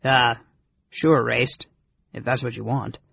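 A performer voicing a big, gentle, slow-witted male warrior with an awkward, hesitant, good-natured manner, in a fantasy story. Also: mid-range voice, steady tone, gravelly, slightly rough, dry recording, muffled recording, heavily acted. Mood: puzzled, hesitant.

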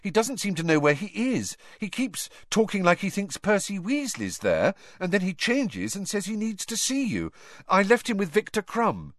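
A man's voice: sits high, wildly swooping pitch, normal speed, dry recording, clean studio sound, pitched above the performer's own voice, exaggerated voice. A man voicing an urgent, insistent teenage boy wizard, breathless and pleading. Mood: earnest.

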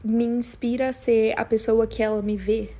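A woman's voice: on the high side, flat delivery, normal speed, warm tone. A young woman with a strong São Paulo Brazilian accent, chatting casually and confessionally in a rambling, slangy, emphatic way. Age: young adult. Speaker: podcast host.

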